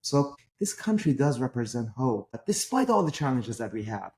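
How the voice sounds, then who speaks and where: A man's voice: mid-range voice, sing-song, moderate pace, a little mellow. Emphatic middle-aged man, Persian accent. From Iran. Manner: emphatic, rhetorical. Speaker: guest. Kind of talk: podcast interview.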